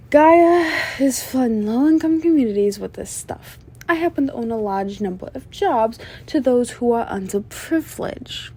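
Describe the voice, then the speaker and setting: A woman playing a flirtatious, menacing female mob boss in an ASMR roleplay, sly and self-assured, with a soft, teasing, sultry delivery. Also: on the high side, slightly rough, full character voice. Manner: teasing, sultry, drawn-out delivery with veiled threats. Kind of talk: scripted ASMR roleplay monologue addressed to listener.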